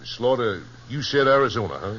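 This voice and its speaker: An actor playing a folksy, anxious cattlemen's association president in a radio western, with an American accent. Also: male voice, medium pitch, lively intonation, brisk, a bit bright. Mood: decisive. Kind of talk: 1950s radio western acting. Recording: dull 1950s radio recording.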